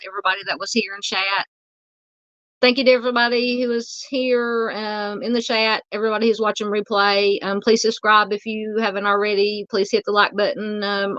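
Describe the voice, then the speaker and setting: Southern gal rambling on a livestream, lots of ums, voice kinda going, dull dry mic sound. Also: female voice, medium pitch, a bit bright, no room sound. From Southern United States. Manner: rambling, chatty, many fillers. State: losing her voice. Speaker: true crime livestream host. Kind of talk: casual livestream chat reading comments.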